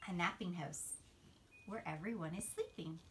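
A woman in her thirties doing an expressive bedtime read-aloud for young children, stretching phrases for suspense, recorded on a phone in a bedroom. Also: on the low side, normal speed. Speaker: teacher reading a bedtime story. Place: small carpeted-sounding bedroom, indoors, seated on a bed. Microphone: phone camera a few feet away. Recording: phone video with clear background noise.

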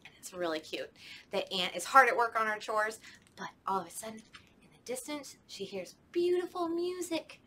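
Lively young woman leading kids' storytime. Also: a little high, moderate pace, a little hiss or hum. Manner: animated sing-song, talks to kids at home. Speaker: children's librarian. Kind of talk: children's storytime. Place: library children's room, indoors.

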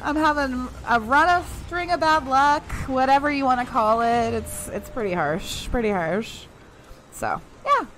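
A woman's voice: high voice, measured pace, a bit bright, rough recording. A young woman streaming a mobile shooter, chatting casually with viewers and laughing often. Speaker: game streamer. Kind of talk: casual live-stream chat while playing.

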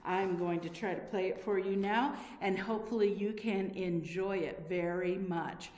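A woman's voice: mid-range voice, steady tone, moderate pace, a little mellow. A middle-aged woman teaching a children's Bible lesson, explaining simply and often asking the listener questions. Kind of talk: children's Bible lesson, addressing young viewers. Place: indoor room with corrugated metal wall. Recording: echoey room with long reverb.

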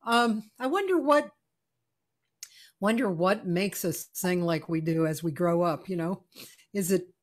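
A woman's voice: fairly low pitch, a little slow, pauses often. A woman in her sixties hosting a casual video-call interview from her home music room, effusive and often searching for words. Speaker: host. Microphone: studio mic on a video call.

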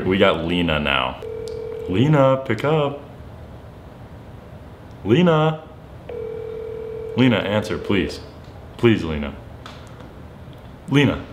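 A young man chatting casually and excitably to camera in slangy, playfully dramatic speech in a bedroom. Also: sits low, slight background noise. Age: young adult. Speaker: vlogger making prank calls. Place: bedroom, indoors.

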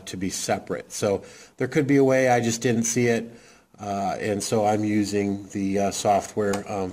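A man casually walking through a how-to demo, talking in a halting way with many fillers. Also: fairly low pitch, darkish tone. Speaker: radio hobbyist demonstrating programming. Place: indoor desk, off camera.

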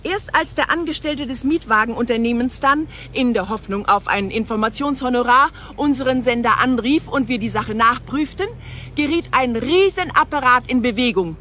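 An actress playing a self-assured news reporter in a radio drama, now and then searching for words. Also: female voice, fairly high pitch, expressive, normal speed, bright, forceful tone, a little hiss or hum. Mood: matter-of-fact, assured.

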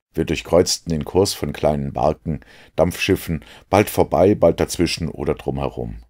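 A man with a gravelly, husky voice reading literary narration as an audiobook. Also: very deep voice, a little mellow. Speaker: audiobook reader.

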